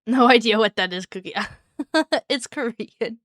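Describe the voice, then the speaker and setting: A woman streamer chatting casually with her viewers, with a soft, soothing voice and a chatty, giggly manner. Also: fairly high pitch, unhurried, no room sound, clean studio sound. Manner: chatty and giggly, talks to chat by name.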